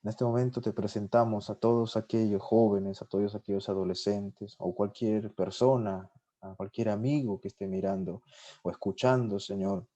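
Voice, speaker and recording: Guest, male, low mellow voice, fervent praying style with steady repetitions. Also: normal speed, lo-fi sound. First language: Spanish. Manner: fervent, repeats "Señor" and "amén" often. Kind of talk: spontaneous spoken prayer. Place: lives in Sweden.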